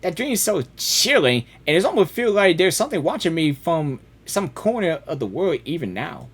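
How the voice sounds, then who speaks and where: A woman game streamer giving casual, excitable live reactions and reading dialogue aloud, often repeating herself. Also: lowish voice, normal speed, breathy edge.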